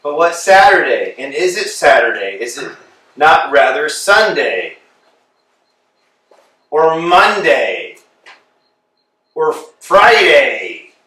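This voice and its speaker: A man's voice: a little high, sing-song, takes it slowly, pauses often, bright, forceful tone, heavily acted. A man playing a sulky, plaintive male tramp in a play reading, recorded from far off in an echoey room. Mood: bickering. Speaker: actor.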